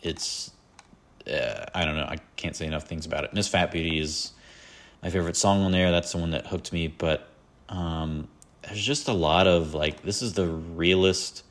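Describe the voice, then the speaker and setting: A man's voice: low-pitched, normal speed, a bit bright. A man hosting a solo podcast, chatting casually and rambling about his favorite albums with lots of fillers and self-deprecating asides. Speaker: podcast host.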